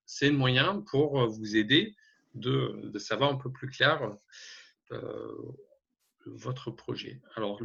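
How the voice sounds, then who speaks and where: A man with a German accent, a native German speaker, explaining slides haltingly as an advisor in an online webinar, often correcting himself. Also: medium pitch, creaky, fairly bright tone, breathy edge. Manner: halting, many hesitations and self-corrections. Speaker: energy advisor presenting a webinar. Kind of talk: explanatory slide presentation, semi-spontaneous. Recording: dull, lo-fi webinar audio.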